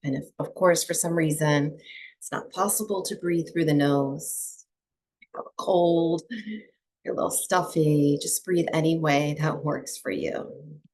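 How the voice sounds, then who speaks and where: A woman teaching breathwork and meditation to a small group, speaking softly and soothingly with long pauses between phrases. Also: deep voice, fairly even intonation. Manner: soft, unhurried, soothing delivery with long pauses.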